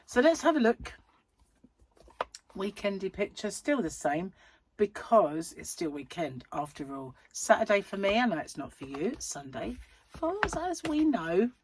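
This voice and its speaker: A woman hosting a crochet channel, chatting in a rambling, self-mocking way at home while her voice is croaky from a cold. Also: mid-range voice, slight background noise. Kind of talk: casual chatty vlog. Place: at home, seated in a chair.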